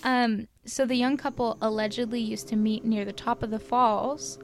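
A woman's voice: a little high, steady tone, normal speed, a little hiss or hum. Casual podcast co-host, woman, from Canada, loose rambling storyteller. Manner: chatty, lots of likes and ums, laughs at own stumbles. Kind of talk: casual chat, telling legends.

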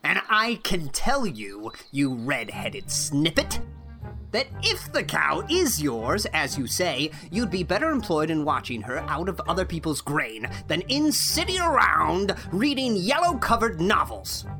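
A woman's voice: low voice, sing-song, measured pace, over music, heavily acted. A woman reading a story aloud and voicing an irate, short, bald old farmer who splutters and scolds. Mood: angry bluster.

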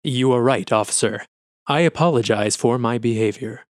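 A cold, formal investigative journalist who speaks in crisp, clipped phrases, played by a man. The delivery is measured and precise, and the voice is mid-pitched. It is recorded close and dry. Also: dry recording, natural voice. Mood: formal cool.

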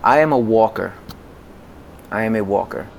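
An American man in his forties speaking conversationally as an interview guest, in a halting way that often repeats words. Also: on the low side, creaky, slight background noise. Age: 40s. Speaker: podcast guest, music consultant.

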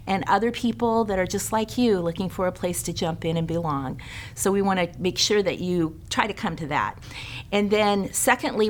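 A woman's voice: fairly low pitch, a little hiss or hum. A middle-aged woman, a care ministry director, giving church announcements in an earnest, inviting way.

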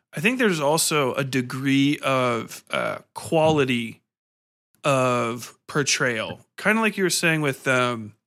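A man's voice: medium pitch, a bit bright. A man hosting a podcast in a casual, conversational interview style, talking informally and thinking aloud as he goes. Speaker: podcast host and author. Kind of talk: casual conversational interview about horror fiction.

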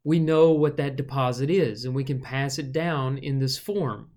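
A man's voice: mid-range voice, steady tone, moderate pace, a little mellow. A man co-hosting a casual Bible discussion, thoughtfully explaining scripture with a touch of self-deprecating humor. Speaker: Bible study co-host.

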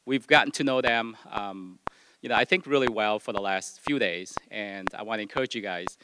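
A man speaking earnestly and haltingly as a host pastor, informally addressing a church congregation. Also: lowish voice, noisy background. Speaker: host pastor introducing a guest. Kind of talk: informal church introduction and spoken prayer.

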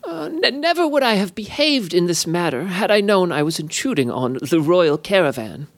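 Cheeky winged fey imp, raspy, high, sly, wheedling, storybook voice. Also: male voice, big pitch swings, moderate pace, no room sound. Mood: mock apologetic. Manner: wheedling, falsely apologetic. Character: sly impish little male winged fey creature.

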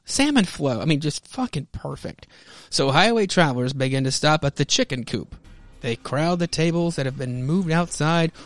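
An American man hosting a podcast, mixing theatrical comic-book narration with casual commentary. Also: on the high side. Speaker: podcast host.

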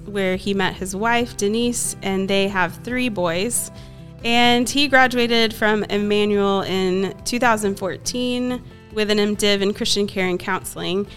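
A woman's voice: mid-range voice, a bit bright. A woman co-hosting a podcast, introducing a guest in a friendly manner. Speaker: podcast co-host.

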